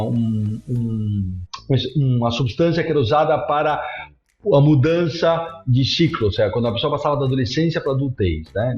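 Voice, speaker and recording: A man's voice: medium pitch, darkish tone. A man from São Paulo, answering interview questions animatedly with frequent restarts and checking tags. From São Paulo, Brazil. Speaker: guest, psychedelic clinic CEO. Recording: roomy, dull remote audio.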